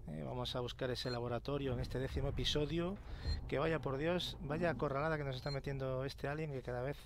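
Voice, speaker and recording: A man with a Spain Spanish accent giving casual commentary as he plays a game, thinking out loud and sometimes dropping to a hush. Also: medium pitch, fairly even intonation, a little fast, background noise. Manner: chatty, thinks aloud, sometimes drops to a hush. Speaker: let's play host. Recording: loud game sound under voice. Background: horror game ambience.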